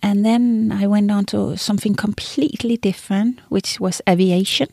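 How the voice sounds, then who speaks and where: Interview guest: middle-aged Greenlandic woman, Danish mother tongue, warm low-brightness voice, close dry sound. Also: steady tone, normal speed, gravelly, no room sound. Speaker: interview guest, executive and former politician. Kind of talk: personal career account in an interview.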